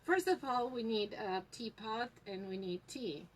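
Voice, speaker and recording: A woman with a Polish accent leads a live lesson at a very leisurely pace, pausing often with small fillers as she explains things to viewers. A faint ambient hiss sits behind her voice. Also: fairly high pitch. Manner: unhurried, many fillers and pauses. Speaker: tea shop host teaching tea leaf reading.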